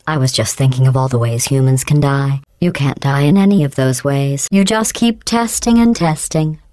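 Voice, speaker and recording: A cold sarcastic computer lady, low, smooth robotic voice, super dry. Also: moderate pace, no room sound. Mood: cold menacing. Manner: deadpan, mocking, passive-aggressive praise. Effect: synthetic robotic voice processing. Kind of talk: video game voice clips.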